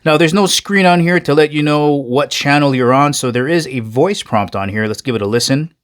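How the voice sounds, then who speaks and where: A man's voice: on the high side, a little fast. Man narrating a casual product review. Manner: conversational, addresses viewers as guys.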